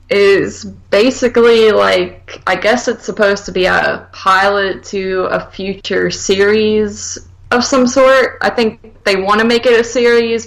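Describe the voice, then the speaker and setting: A woman's voice: medium pitch, low-quality recording. A woman co-hosting a podcast, reviewing films in casual, informal chat full of hedges and filler words. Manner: informal, hedging, filler-heavy with frequent "like". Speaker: podcast co-host.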